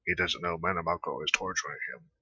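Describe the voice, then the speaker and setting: Very deep, husky, sharp-edged gamer guy. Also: steady tone, fast talker. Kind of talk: casual let's-play commentary, reading game dialogue aloud. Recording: close, dull lo-fi microphone.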